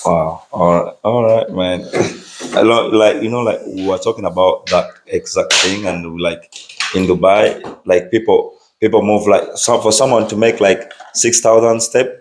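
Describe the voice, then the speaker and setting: A low-voiced man from Uganda hosts a casual interview in a friendly, informal way. He uses lots of fillers as he talks. The echoey room adds a roomy ring to his voice. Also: steady tone, normal speed, background noise.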